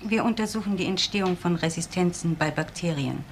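An actress plays an efficient, guarded laboratory assistant in a crime radio play. She is matter-of-fact and clipped, with a gravelly creak. There is faint noise behind her. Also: fairly low pitch, fairly quick, close to an everyday voice. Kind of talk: German crime radio drama acting.

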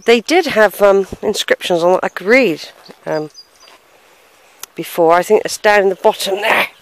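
Middle-aged woman, casual walking vlog, recorded on a handheld camera outdoors. Mid-pitched, hesitant and musing, given to sighs. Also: normal speed. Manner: musing, hesitant, sighs, reads gravestones aloud. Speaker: family history vlogger. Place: outdoor churchyard in the countryside. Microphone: handheld camera, unseen narrator behind it.

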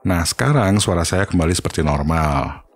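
A man giving an informal, step-by-step software tutorial. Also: sits low, animated, moderate pace, no room sound. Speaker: tutorial host.

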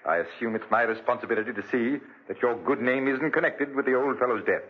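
Man playing a brisk, formal radio-drama lawyer. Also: mid-range voice, close to an everyday voice. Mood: concerned, formal. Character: composed, businesslike lawyer, loyal to his client. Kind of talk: 1940s radio drama acting. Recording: dull 1940s radio recording.

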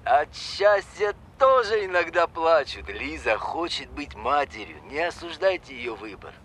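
An actor playing a good-natured, talkative grandfather in an audio drama phone dialogue, gentle and sometimes hesitant. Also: a little high, sing-song, unhurried, slight background noise. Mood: animated, friendly. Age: middle-aged or older.

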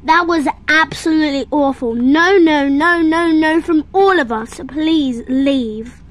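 A woman voicing blunt talent show judges, speaking a little lower than her own voice, frank and dismissive. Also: takes it slowly. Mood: scathing.

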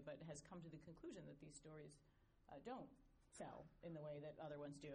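A woman's voice: deep voice, a little mellow, a little hiss or hum. A woman speaking on a conference panel, fluent but rambling with filler phrases.

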